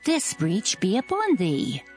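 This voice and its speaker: A woman's voice: mid-range voice, wildly swooping pitch, slow, a little mellow, faint music behind, full character voice. A woman playing an astonished Hebrew midwife in a scripture audio drama.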